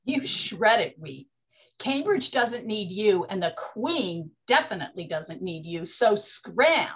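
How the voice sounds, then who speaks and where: A woman's voice: medium pitch, big pitch swings, slow. Woman playing a sassy 1920s speakeasy queen. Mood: indignant. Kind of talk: 1920s period comedy radio-play acting.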